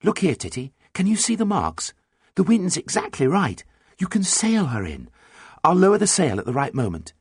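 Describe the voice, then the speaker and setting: An earnest boy sailor voiced by a man gives brisk, commanding orders in a storybook reading. The pitch moves around in a lively way, and the sound is close and dry. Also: slightly rough, no room sound, full character voice. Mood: encouraging. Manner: commanding, calling out nautical orders. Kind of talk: audiobook character dialogue.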